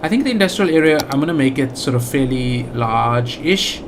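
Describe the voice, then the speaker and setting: A man's voice: medium pitch, animated, a little fast, noisy background. A man with a South African accent giving casual let's play commentary, thinking aloud with lots of fillers and restarts. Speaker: gaming channel host.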